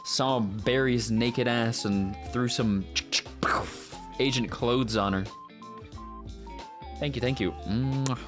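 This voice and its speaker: Male voice actor hosting a casual stream, medium pitch, unhurried and playful, light music underneath. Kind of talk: casual livestream chat.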